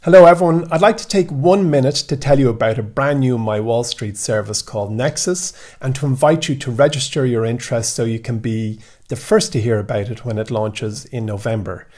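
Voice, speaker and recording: A man's voice: medium pitch, fairly quick, few pauses, a little mellow. A man, the show's host, reading an upbeat scripted promotion. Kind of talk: scripted ad read. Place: indoor room.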